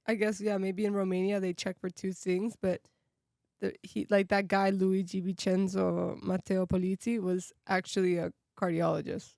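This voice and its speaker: A woman's voice: mid-range voice, flat delivery, normal speed, vocal fry, darkish tone, no echo, studio quality. A woman chatting casually on a podcast, informally peppering her sentences with "like". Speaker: podcast panelist. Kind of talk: casual chat.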